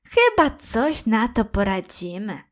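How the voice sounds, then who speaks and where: Patient mom played by a woman, very slow, soothing, fairly high voice, children's story. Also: big pitch swings. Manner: soothing, explanatory. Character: gentle, patient mother of young children. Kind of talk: children's audio fairy tale.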